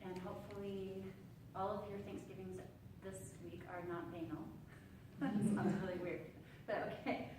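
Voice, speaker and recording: A woman's voice: medium pitch, little pitch movement, normal speed, hardly any pauses. A woman hosting a club meeting's impromptu speaking round, giggly and hesitant with lots of fillers. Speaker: meeting host leading table topics. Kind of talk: casual hosting. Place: meeting room. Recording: echoey room, far from the mic, clear background noise.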